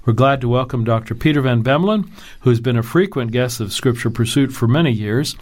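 A man hosting a Bible discussion program, reading a scripted opening and then interviewing a guest in an earnest, measured tone. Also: medium pitch, expressive, a little mellow. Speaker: radio Bible program host.

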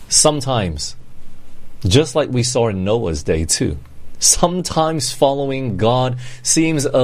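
Male preacher, emphatic and conversational. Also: medium pitch. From born in Singapore, grew up in Malaysia, England and Australia. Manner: emphatic, with rhetorical questions and checks to listeners. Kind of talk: conversational sermon.

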